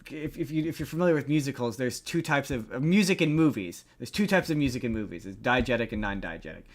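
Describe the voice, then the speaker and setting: A man's voice: medium pitch, lively intonation, quick pace. A man hosting a casual pop-culture discussion, talking in a rambling way and repeating words for emphasis. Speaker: podcast host.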